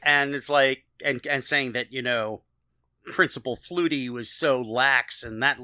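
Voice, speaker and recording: Man, bright sharp voice, halting delivery, casual podcast co-host, dry recording. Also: mid-range voice, smooth, no room sound. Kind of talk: casual TV episode discussion chat.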